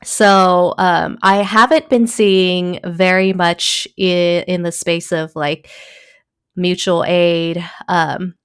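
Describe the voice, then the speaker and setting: A woman from Taiwan, a guest in a casual conversation, talking thoughtfully with many pauses, fillers and small laughs. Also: lowish voice, fairly even intonation, clean tone, dry recording, studio quality.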